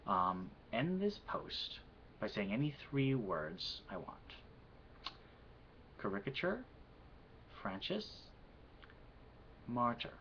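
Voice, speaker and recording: A man with a Midwestern accent answering an accent questionnaire and slowly reading a poem aloud, with hesitant pauses. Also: medium pitch, sing-song, slight background noise. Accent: Midwestern American. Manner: measured and soft-spoken, with hesitant "um"s. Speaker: vlogger answering an accent challenge. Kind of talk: casual answers and slow poetry reading. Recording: quiet, dull lo-fi home recording.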